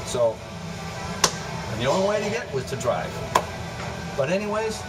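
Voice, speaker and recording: An American man recounting memories in an unscripted interview, speaking haltingly and often repeating and restarting his words. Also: high voice, sing-song. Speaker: interviewee, former air traffic controller. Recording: distant mic, loud music, vehicle-like hum.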